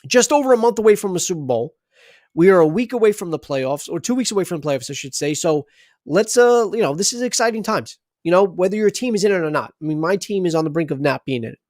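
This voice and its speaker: A chatty man hosts a sports livestream and rambles through his sign-off. His voice sits a little high for a man. The sound is dry and close. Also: expressive, fast, a little mellow, no room sound. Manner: rambling, chatty, many restarts. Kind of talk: casual sports livestream talk.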